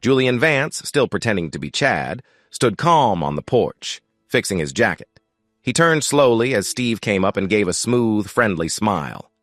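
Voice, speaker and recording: A man's voice: on the low side, very animated, unhurried, fairly bright tone, dry recording, clean studio sound, soft background music. A man reading a scripted story as its narrator.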